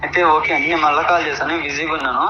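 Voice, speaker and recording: A man's voice: a little high, steady tone, rapid-fire, loud music behind the voice. A man in casual phone talk, politely evasive and reluctant to stay on the call. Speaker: prank call recipient. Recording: dull phone line, noisy.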